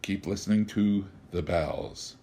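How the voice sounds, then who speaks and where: A mysterious little stranger, calm and wry, speaking in slow, measured phrases, voiced by a male storyteller. His tone rises and falls with lively, knowing emphasis. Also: medium pitch.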